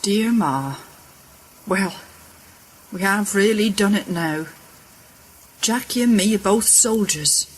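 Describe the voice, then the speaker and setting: A middle-aged woman playing a fretful, tender village mother of a soldier in a radio play, with a husky voice. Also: mid-range voice, animated, slow, long pauses, slight background noise, rough recording. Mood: sorrowful.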